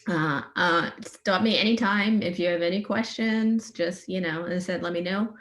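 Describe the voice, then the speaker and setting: Trinidadian woman, lowish voice, friendly. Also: normal speed, fairly bright tone, a little hiss or hum. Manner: friendly, enthusiastic, some fillers. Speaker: veterinarian and researcher giving a talk. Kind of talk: informal science presentation. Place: remote online session.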